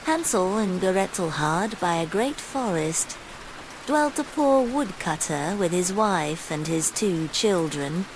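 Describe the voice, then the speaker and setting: A woman narrating a fairytale as a bedtime story in a calm, soothing manner. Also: lowish voice, takes it slowly. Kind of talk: scripted fairytale audiobook narration. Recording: steady rain noise over the voice. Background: rain sounds.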